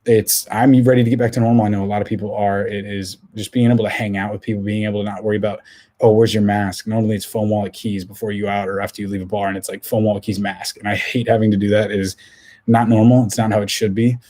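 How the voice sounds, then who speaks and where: A young man hosting his own podcast alone, talking casually with a hoarse voice, rambling with many restarts and fillers. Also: on the low side, level tone, fast talker, dark tone. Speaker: podcast host. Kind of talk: casual solo podcast talk.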